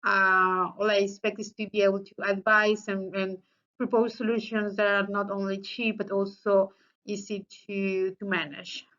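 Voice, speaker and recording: A woman's voice: flat delivery, moderate pace, a bit bright. A woman from Colombia in her thirties, introducing herself to a class in English with a Colombian accent, speaking with many fillers and restarts. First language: Spanish. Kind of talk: casual self-introduction. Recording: slightly muffled low-fidelity recording.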